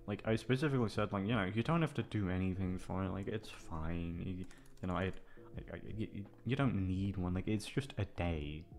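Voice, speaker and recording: Deep-voiced young man rambling casually. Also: a little fast, a little mellow. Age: 20s. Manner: self-deprecating, hesitant, freely swearing. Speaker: voice actor addressing listeners. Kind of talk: casual rambling chat to listeners. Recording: music playing behind the voice. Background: background music.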